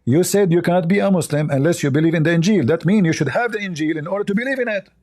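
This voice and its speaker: Higher-pitched, mellow man arguing insistently. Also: very fast. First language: not English. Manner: argumentative, repetitive, interrupting. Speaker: religious debater. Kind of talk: heated religious debate.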